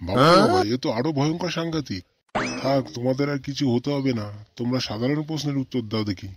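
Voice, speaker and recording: Brief: male actor as jovial cartoon minister, easily shocked, quick patter, mid-pitched, some background noise. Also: close to an everyday voice. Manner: exclaiming, questioning, repeats words emphatically. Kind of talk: comic cartoon dialogue.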